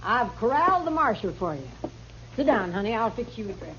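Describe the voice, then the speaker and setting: Worldly western saloon madam, noisy recording. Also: female voice, a little high, big pitch swings, fairly quick. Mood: matchmaking. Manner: folksy, wry, bossy. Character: worldly, teasing older female saloon keeper. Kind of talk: 1950s western radio acting. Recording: noisy, muffled old radio broadcast.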